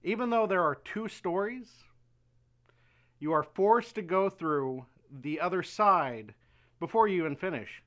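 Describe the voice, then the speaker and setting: A man reviewing a game with deadpan sarcasm and stammering restarts. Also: high voice, measured pace.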